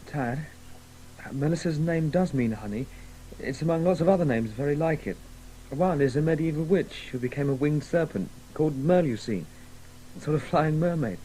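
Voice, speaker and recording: An actor playing an earnest, bookish young son in a radio drama, tentative and rambling. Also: male voice, a little high, lively intonation, moderate pace, warm tone, a little hiss or hum, natural voice, poor audio. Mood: earnest, musing. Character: earnest, bookish, dreamy son with a crazy scheme. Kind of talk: 1980s radio drama acting.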